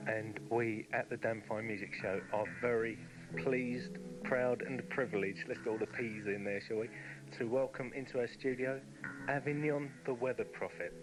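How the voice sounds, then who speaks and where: A man's voice: medium pitch, fairly even intonation, normal speed, poor audio. Radio host guy, mid voice, mock pompous zany intros, music playing under him. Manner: playfully pompous. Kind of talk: zany scripted-sounding studio introduction. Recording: radio clip with music bed.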